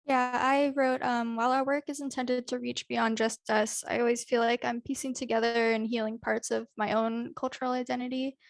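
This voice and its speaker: A woman reads a written personal statement in a slightly high voice with a strong creak. Her intonation is flat, and the sound is dry and close. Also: normal speed, hardly any pauses, no echo. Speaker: student participant. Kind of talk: reading own written reflection aloud.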